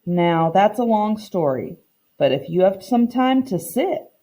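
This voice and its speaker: Woman acting a kindly old storybook lady, proud, folksy, unhurried, mellow tone, lively pitch. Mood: warm, proud. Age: older. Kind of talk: children's picture book read-aloud.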